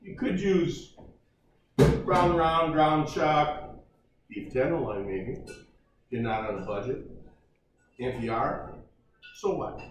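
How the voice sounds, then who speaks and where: A man's voice: on the high side, slow pace, long pauses, a little hiss or hum. An older man hosting a casual home cooking show, joking and chatting as he cooks in a large kitchen, recorded by a camera at a distance. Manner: folksy, jokey, chatty while cooking. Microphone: camera mic at a distance. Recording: echoey kitchen, voice from off-mic.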